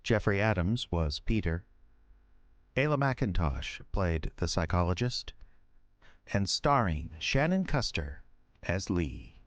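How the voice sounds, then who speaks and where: A man's voice: sits low, big pitch swings, slow, no echo. A man reading scripted credits as the announcer of an audio drama podcast.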